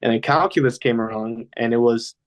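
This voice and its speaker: A man's voice: medium pitch, brisk. A young man who grew up speaking Polish at home, talking casually as a podcast guest and rambling with many fillers. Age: young adult. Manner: rambling, lots of fillers like "you know". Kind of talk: casual interview talk.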